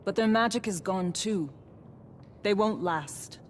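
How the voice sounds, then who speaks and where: An actress playing a steady, wry fugitive mage companion in a video game, measured, dry and reassuring. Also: female voice, medium pitch, slight background noise, close to an everyday voice. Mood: grave, wry. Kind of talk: cinematic game acting. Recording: faint background ambience.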